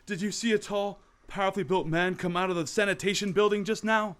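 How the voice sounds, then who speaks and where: A man's voice: high-pitched, steady tone, fast talker, a bit bright, a little hiss or hum. A man playing a determined male crime-fighting hero in a radio play, urgent and commanding. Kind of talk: amateur radio play acting.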